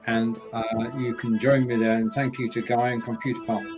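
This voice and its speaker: An English man guesting on a live stream, speaking in a relaxed, even mid-pitched voice. He stumbles and restarts often, easygoing, with faint music behind. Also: a little fast. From England.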